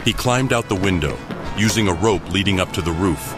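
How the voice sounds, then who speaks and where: A man's voice: deep voice, a little fast, close to an everyday voice. A man narrating a scripted crime mystery audio drama as a grave storyteller. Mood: grave, suspenseful. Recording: background music under the voice.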